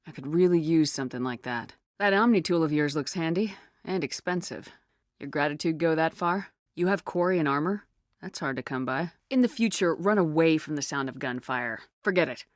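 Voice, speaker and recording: A woman's voice: low-pitched, a little fast, dry recording, clean studio sound, close to an everyday voice. A woman playing a tough, resolute space navy commander in a video game, speaking in a firm, terse, commanding way. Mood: businesslike. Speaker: video game lead character. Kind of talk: video game dialogue acting.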